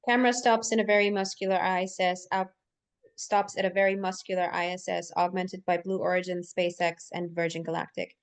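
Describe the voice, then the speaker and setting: Lower-voiced woman reading stage directions. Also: flat delivery, a little fast, clear, resonant tone. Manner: stumbles and repeats words while reading aloud. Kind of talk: live screenplay table read narration.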